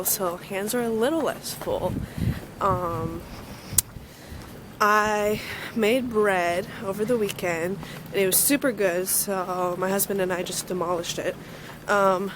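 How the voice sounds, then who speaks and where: Slightly hoarse young woman vlogging. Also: medium pitch, noisy background. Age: young adult. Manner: chatty, upbeat, rambling with many ums. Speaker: vlogger, university student. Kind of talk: casual vlog chat. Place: outdoors on a campus, then an indoor study corner.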